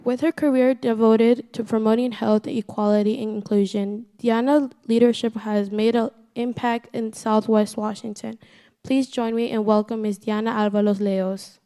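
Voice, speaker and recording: A woman at a school assembly reading a formal introduction of the keynote speaker, steady, with a few stumbles. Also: a little high, normal speed, clear, resonant tone. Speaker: assembly presenter.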